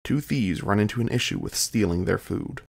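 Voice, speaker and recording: A man's voice: fairly low pitch, sing-song, normal speed, vocal fry, slightly rough. A man reading scripted narration, announcing the scenes of an audio drama.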